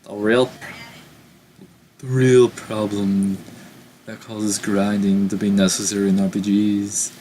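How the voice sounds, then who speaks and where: Give me a low-voiced man reading game narration aloud. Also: little pitch movement, slow, pauses often, warm tone, a little hiss or hum. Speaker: let's play host. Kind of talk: reading a visual novel aloud with commentary.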